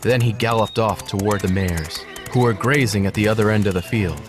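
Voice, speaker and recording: A man playing a gentle horse who narrates his life story in a measured, earnest storybook style. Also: fairly low pitch, music in the background, lightly acted. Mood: reflective.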